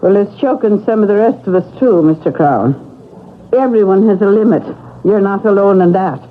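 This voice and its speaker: A woman's voice: medium pitch, moderate pace, close to an everyday voice. A long-serving Irish housekeeper acted by a woman, loyal, effusive and full of feeling. She speaks in old radio drama style. The recording is very narrow and tinny, with faint noise behind. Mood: bitter, resolute. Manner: pleading, effusive, endearing address. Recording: thin, noisy old radio broadcast.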